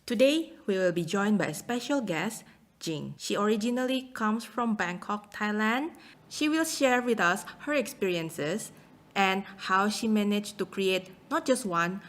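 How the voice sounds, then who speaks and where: A woman hosting her channel, reading an upbeat introduction of her guest. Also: medium pitch. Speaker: channel host. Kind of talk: scripted show intro.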